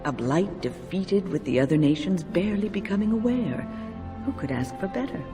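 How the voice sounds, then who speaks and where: An actress playing a wise, gentle elderly mage in a video game, speaking in a measured, reflective, kindly way with wry touches. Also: female voice, fairly low pitch, expressive, natural voice. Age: older. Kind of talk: video game character dialogue. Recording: music behind. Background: music.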